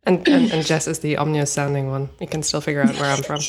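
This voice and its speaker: Lady host, bit low voice, upbeat, asks the guests stuff. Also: steady tone, fast. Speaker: podcast host. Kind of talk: casual interview.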